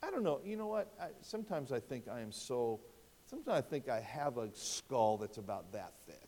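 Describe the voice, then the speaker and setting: Fifty-something preacher in a reverberant hall. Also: male voice, mid-range voice, very animated, a little fast. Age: 50s. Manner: conversational, rhetorical questions, wide swings in loudness. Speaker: pastor. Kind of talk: expository sermon.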